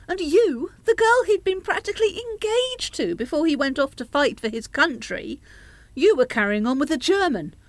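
A woman's voice: sits high, expressive, normal speed, no echo. An actress in a radio play playing a middle-aged grandmother who pleads and reproaches, coaxing and justifying herself. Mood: defensive. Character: pleading, reproachful grandmother, anxious to smooth things over.

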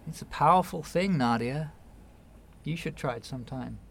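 A man's voice: on the high side, moderate pace, vocal fry. Earnest, patient man played by an actor, noisy recording. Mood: insistent, gentle. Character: earnest, patient man gently persuading a younger relative. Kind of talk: naturalistic radio play acting.

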